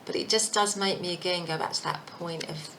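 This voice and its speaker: A woman giving a casual tarot reading, thinking aloud with many fillers, her voice croaky and husky. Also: fairly low pitch, steady tone, brisk, fairly bright tone, slight background noise. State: croaky voice, clearing throat. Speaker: tarot reader.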